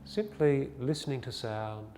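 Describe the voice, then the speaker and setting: A man teaching a guided body scan meditation, giving gentle, soothing, unhurried instructions with pauses. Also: medium pitch, slight background noise. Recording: long reverb, faint music behind.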